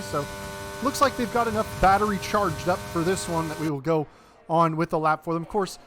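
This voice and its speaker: A man's voice: fairly high pitch, background noise. A man with an American accent giving chatty play-by-play commentary on a sim racing league race. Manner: chatty play-by-play with fillers and self-corrections.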